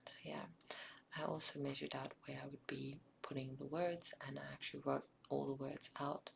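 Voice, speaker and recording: A South African woman whose first language is Afrikaans, narrating a craft project in a soft, often whispered ASMR style with frequent ums. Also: a bass voice, little pitch movement, normal speed, creaky, noisy background. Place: home craft table.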